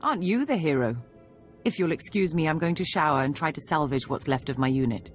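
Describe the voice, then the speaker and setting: A woman's voice: low voice, lively intonation, brisk. A woman playing a bitter, battle-hardened military officer in a video game, curt and sardonic. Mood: bitter, sarcastic. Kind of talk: video game cutscene acting. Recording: music behind the voice.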